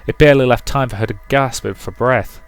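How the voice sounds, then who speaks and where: A man's voice: medium pitch, fairly even intonation, a little fast, clean tone, soft background music. A man reading a visual novel aloud as dramatic narration for a let's play. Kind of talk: dramatic storybook-style narration with commentary.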